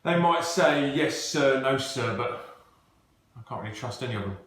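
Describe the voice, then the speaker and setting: A man's voice: medium pitch, lively intonation, moderate pace, a bit bright, natural voice. Man acting commanding company boss, very echoey room. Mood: confiding, wary. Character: genial but commanding male company boss, distrustful of staff. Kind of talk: amateur stage-play acting, naturalistic dialogue. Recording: very echoey, distant, faintly noisy recording.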